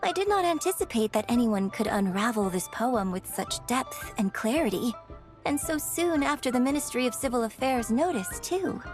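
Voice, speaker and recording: A woman's voice: on the high side, natural voice. A woman voicing a poised, courteous young opera director in a video game, speaking politely and eloquently.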